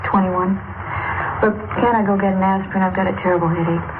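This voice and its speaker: A woman's voice: mid-range voice, fairly even intonation, fairly quick, lightly acted. An actress playing a curt, defensive young American woman in 1950s radio acting style. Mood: sullen, defensive. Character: defensive, sullen young woman of twenty-one, hard-edged. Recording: noisy, tinny 1950s radio recording.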